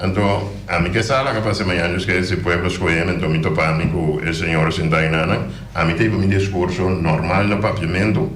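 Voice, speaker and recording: A man's voice: low-pitched, steady tone, a little fast, hardly any pauses, fairly bright tone, reverberant. A man speaking as a politician guest on a radio show, passionate and emphatic, often repeating his words. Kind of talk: radio interview, casual talk. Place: radio studio.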